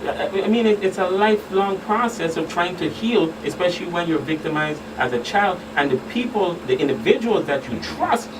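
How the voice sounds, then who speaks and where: An emphatic man with a slightly high voice talks as an expert guest on a radio talk show. He drives points home by repeating phrases. He sounds away from the mic, over a clear air-conditioning noise. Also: animated, normal speed, hardly any pauses. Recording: distant mics, loud air-conditioning hum.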